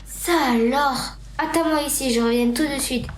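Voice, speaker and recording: Woman playing high-voiced attentive mother, echoey room. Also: fairly even intonation, normal speed, natural voice. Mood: astonished. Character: attentive, astonished mother of a young girl. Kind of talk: amateur radio drama acting. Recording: roomy, echoing, faint background noise.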